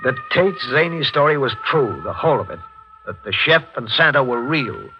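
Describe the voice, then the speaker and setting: An actor playing a probing, no-nonsense district attorney in a 1940s radio drama, with a brisk, pointed manner. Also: male voice, mid-range voice, lively intonation, close to an everyday voice, rough recording. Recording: band-limited 1940s radio recording with music.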